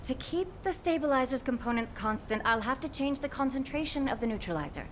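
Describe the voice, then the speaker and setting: A woman's voice: sits high, lively intonation, brisk, fairly bright tone, slight background noise. A woman acting a concerned, protective technical expert in a video game, speaking with urgent, precise earnestness. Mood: grave, insistent.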